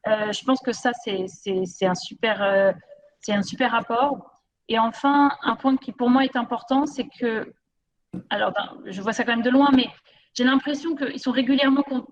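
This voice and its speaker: A woman's voice: fairly high pitch, muffled recording. A woman giving a spontaneous testimonial as a mentor, speaking hesitantly with repeated words and emphasis. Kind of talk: spontaneous spoken testimonial at a conference.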